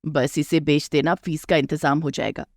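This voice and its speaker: A woman's voice: sits low, little pitch movement, moderate pace, no room sound, clean studio sound, pitched below the performer's own voice. A woman voicing an older mother-in-law in a moral story, anxious, bossy and conspiratorial in her scolding. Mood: sly, bossy.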